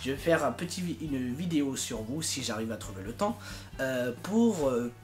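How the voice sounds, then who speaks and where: A man with a mid-range voice talks informally to online followers. His delivery is unscripted, rambling and halting. Music plays clearly behind him. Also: medium pitch, animated. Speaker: amateur fandub project leader and YouTuber. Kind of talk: casual, unscripted video update to followers.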